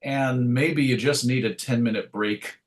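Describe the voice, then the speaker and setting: A man's voice: medium pitch, a little slow. A man speaking as an interview guest, conversational and thoughtful, illustrating his points with anecdotes and quoted asides. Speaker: interview guest, radio show host.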